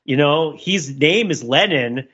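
Animated, husky man thinking aloud. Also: on the high side, takes it slowly, muffled recording. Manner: rambling, digressive, thinking aloud. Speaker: podcast co-host. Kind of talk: casual, opinionated cultural commentary.

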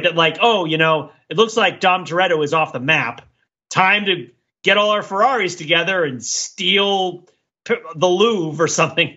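A man with a forceful, rough-edged and fairly high voice talks through film ideas as a podcast panelist. He is casual and discursive, thinking aloud as he goes. The recording is a little dull.

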